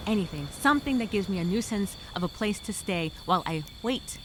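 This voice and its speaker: A woman performing a stranded castaway speaking a journal aloud. She is wry and weary, with a sarcastic streak of hope. Crickets and insects chirp clearly behind her. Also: mid-range voice, lively intonation, a little fast, background noise, lightly acted. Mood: weary musing. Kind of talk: dramatic first-person journal monologue.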